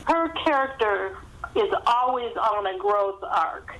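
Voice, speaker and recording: A woman's voice: medium pitch, animated, takes it slowly, a bit bright. A woman speaking as a guest author, giving conversational interview answers over a thin phone connection. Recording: thin phone-line sound.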